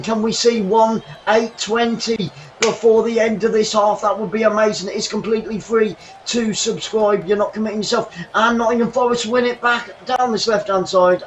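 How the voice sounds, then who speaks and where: A man hosting a live football watchalong from his bedroom, commentating the match and thanking viewers, getting excitable and shouting when chances come. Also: high-pitched, dull audio, slight background noise. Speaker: watchalong host. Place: home bedroom.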